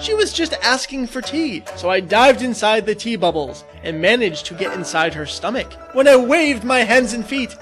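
Man reading as boastful high-pitched Monkey King. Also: fairly bright tone. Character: Monkey King disciple boastfully recounting his fight. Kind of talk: dramatic reading from a classic novel.

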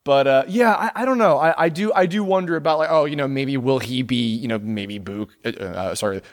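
A man hosts a casual fan podcast in an easy, rambling way. He stops and restarts his sentences often, scatters fillers through his talk, and likes to joke with his guest. His voice sits at an average pitch. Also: brisk. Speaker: podcast host.

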